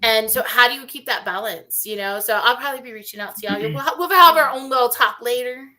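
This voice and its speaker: A woman's voice: fairly high pitch, fast talker, bright, forceful tone. A woman co-hosting a casual radio show, talkative and playfully teasing. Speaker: radio co-host and singer.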